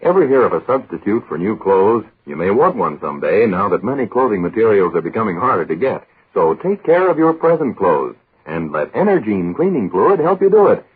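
Male 1940s radio announcer, low animated voice, sponsor pitches, thin old broadcast sound. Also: brisk, husky, phone-quality sound.

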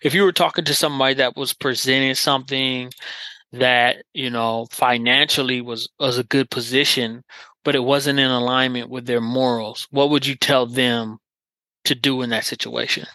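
A man's voice: mid-range voice, steady tone, moderate pace, sharp tone, no echo, low-quality recording. A man hosting a podcast, talking in an earnest, encouraging way with lots of filler phrases. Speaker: podcast host. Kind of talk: casual interview chat.

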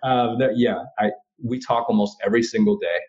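A man's voice: mid-range voice, steady tone, a little fast, a little mellow, highs cut off. A man from Florida, a guest and author, talking earnestly and reflectively in an interview, with light laughs. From Florida, USA. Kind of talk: candid interview conversation.

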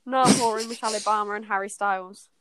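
A woman's voice: medium pitch, fairly even intonation, fast, fairly bright tone, no echo. A young woman co-hosting a podcast in a gushing, slangy, casual chat with friends. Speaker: podcast co-host.

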